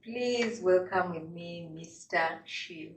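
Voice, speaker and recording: A woman's voice: fairly low pitch, slow, a little hiss or hum. A woman hosting a casual interview, chatting and often filling with "like". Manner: chatty, leans on "like" fillers. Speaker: podcast host. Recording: echoey, distant mic.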